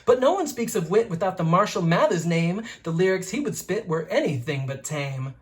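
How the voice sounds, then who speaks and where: Animated reader with lively, rhythmic delivery. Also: medium pitch, moderate pace. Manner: rhythmic, expressive, playful delivery. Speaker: teacher and host reading a children's book aloud. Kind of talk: rhyming picture-book read-aloud.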